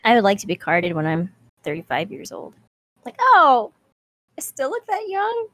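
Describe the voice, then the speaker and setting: A woman's voice: fairly high pitch, wildly swooping pitch, moderate pace, a bit bright, dry recording, clean studio sound. A woman in her twenties co-hosting a podcast, chatting casually with playful, mock-dramatic complaining. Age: 20s. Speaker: podcast co-host. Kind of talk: casual chat.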